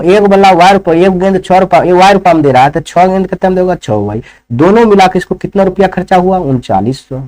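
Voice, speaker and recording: A man's voice: on the high side, dark tone, poor audio. A man teaching maths step by step, instructing and often checking understanding with rhetorical questions. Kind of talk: classroom lesson, working problems step by step.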